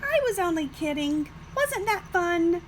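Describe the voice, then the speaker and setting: Lady doing a excitable lil owl boy for a kids story, way up high, proud and sneaky, swoopy, noisy recording. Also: unhurried, exaggerated voice. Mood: smug, playful. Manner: urgent, excitable cries for help. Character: lazy, sly young male owl, excitable and proud. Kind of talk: children's storybook character voice.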